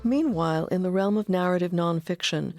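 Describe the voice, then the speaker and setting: A woman giving measured, articulate scripted narration as the narrator of a documentary. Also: on the low side, a little mellow, faint music behind.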